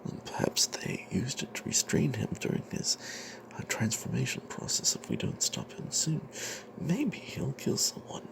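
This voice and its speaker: Male performer as grudging greedy cleric, breathy ASMR whisper, slow, secretive, hesitant, fantasy storytelling. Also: medium pitch, full character voice, poor audio. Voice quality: breathy whisper with creaky edges. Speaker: narrator of a tabletop fantasy tale.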